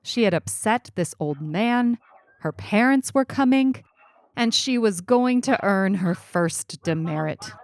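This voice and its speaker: A woman reading a scripted short story as narrator, deliberate and expressive. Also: mid-range voice, no echo. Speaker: story reader. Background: music.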